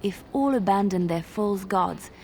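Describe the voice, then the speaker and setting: An actress playing an earnest, devout young bard who solemnly tells sacred legends in a fantasy video game. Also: female voice, mid-range voice, animated, a little slow, dark tone, slight background noise. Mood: solemn storytelling.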